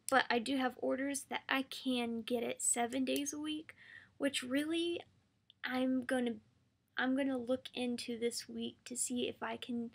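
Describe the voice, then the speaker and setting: Hoarse woman, soft and halting. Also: on the high side. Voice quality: creaky, hoarse. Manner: soft-spoken, halting with frequent ums. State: losing her voice. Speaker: patient sharing her experience. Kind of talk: casual video answer to a viewer.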